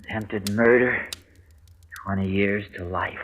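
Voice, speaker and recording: An actor playing a scheming nephew plotting a murder in an old radio drama, speaking in terse, hushed lines. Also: male voice, lowish voice, fairly even intonation, slow. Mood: grim. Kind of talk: 1940s radio acting. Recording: old radio broadcast with faint hiss.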